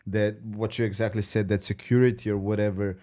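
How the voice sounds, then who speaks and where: Guy from bulgaria, lowish voice, slangy casual sports podcast talk. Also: little pitch movement, a little fast, warm tone. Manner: slangy, informal. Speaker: podcast panelist.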